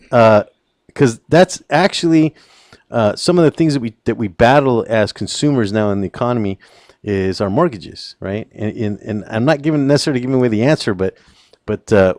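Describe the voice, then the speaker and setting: A man's voice: medium pitch, darkish tone, no echo, studio quality. Rambling male radio host. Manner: rambling, hesitant, many restarts. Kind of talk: casual radio talk.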